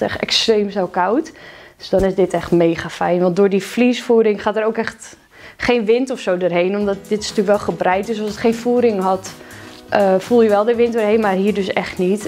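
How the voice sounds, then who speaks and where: A chatty young woman with a fairly high voice talks through her favourite winter gear in a casual, upbeat way, filling gaps with little ums. She records at home on a camera mic, with faint music playing underneath. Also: a little mellow. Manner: chatty, upbeat, lots of filler words. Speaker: equestrian shop presenter. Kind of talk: casual product lookbook talk. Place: indoors at home with plants behind.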